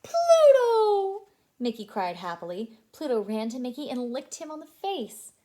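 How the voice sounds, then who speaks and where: A woman reading a story aloud to young children as a childcare storyteller, expressive and animated. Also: fairly high pitch, normal speed. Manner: animated, asks listeners questions.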